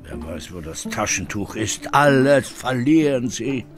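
A man's voice: medium pitch, big pitch swings, a little slow, music in the background. A male actor playing a grumbling, stubborn old servant who talks back defensively in a radio play. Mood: querulous. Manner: querulous, defensive, swinging into indignant whines.

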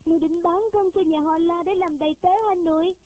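A woman's voice: extremely high pitch, steady tone, fairly quick, dark tone, no echo, muffled recording, pitched above the performer's own voice. A woman voicing a devoted, tearful eight-year-old girl who pleads with her grandmother in a childlike way, in a storybook reading. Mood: pleading. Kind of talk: audiobook character voice.

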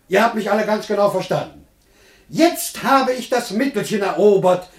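Boastful citizen, high swooping husky voice. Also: male voice, heavily acted. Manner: declamatory, theatrical, boastful. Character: crafty, excitable Greek citizen, gleeful new-rich braggart. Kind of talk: classic German radio play of an ancient comedy.

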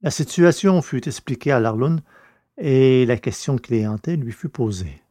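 Mellow male narrator, very dry sound. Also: medium pitch, animated, dry recording. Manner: measured, literary reading. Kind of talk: scripted story narration.

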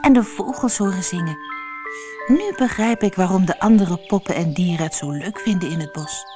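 A woman's voice: lowish voice, moderate pace, over music, natural voice. A woman voicing a small, wistful and proud female rag doll in a children's storybook, talking in simple childlike sentences. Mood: happy, proud.

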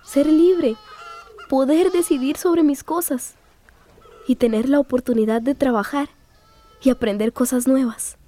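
Casting: timid, plaintive teenage girl for radio drama; high pitch, gentle dark tone. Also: a little slow, long pauses. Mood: relieved, hopeful. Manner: earnest, plaintive. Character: timid, mistreated teenage girl longing for freedom.

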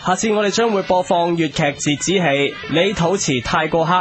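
A man's voice: sits high, brisk, close to an everyday voice. A man with a Hong Kong Cantonese accent playing a mock-formal television announcer in a scripted parody broadcast. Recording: echoey, music behind.

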